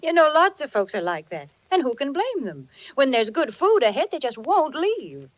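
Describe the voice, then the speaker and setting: Old-time radio ad lady, chatty salesy voice, highish and really lively, 1940s sound. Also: moderate pace, no room sound. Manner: chatty, emphatic selling. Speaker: sponsor spokeswoman, co-host. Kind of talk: 1940s radio commercial pitch. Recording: muffled, dry vintage 1940s radio.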